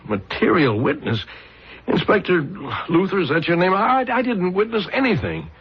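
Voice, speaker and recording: A man's voice: high-pitched, wildly swooping pitch, moderate pace, breathy edge, exaggerated voice. An actor playing a flustered, indignant salesman wrongly accused, stammering and protesting in a 1970s radio drama. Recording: dull, lo-fi old radio recording.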